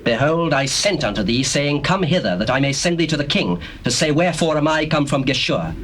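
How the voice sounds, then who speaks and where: An actor playing a proud, scheming young prince in a dramatized scripture, with a British accent and declamatory, formal speech. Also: male voice, on the high side, expressive, fairly quick, fairly bright tone. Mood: imperious, aggrieved. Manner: declamatory, archaic formal phrasing. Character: proud, scheming young prince plotting against his father. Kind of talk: dramatized scripture, theatrical British stage delivery. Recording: noisy old cassette tape, birdsong behind.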